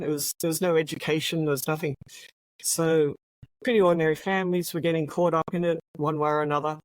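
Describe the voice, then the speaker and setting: A woman's voice: low-pitched, no echo, rough recording. Aussie lady in her 60s, retired cop on a podcast, easygoing storyteller, dry close mic. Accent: Australian. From Australia. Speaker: guest, retired police officer. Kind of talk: interview conversation.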